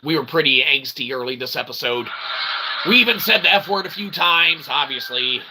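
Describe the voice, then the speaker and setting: Man, slightly high gravelly voice, rambling livestream host, emphatic and repetitive, mock-formal wording, noisy background. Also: animated, moderate pace. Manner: repetitive, emphatic, with tag questions like "okay?"; wordy, mock-formal phrasing. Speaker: livestream host and self-published author.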